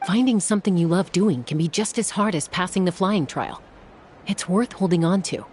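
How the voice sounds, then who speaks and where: A woman playing a calm, dependable warrior in a video game, earnest and assured. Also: fairly low pitch, normal speed, a little hiss or hum, close to an everyday voice. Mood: reassuring.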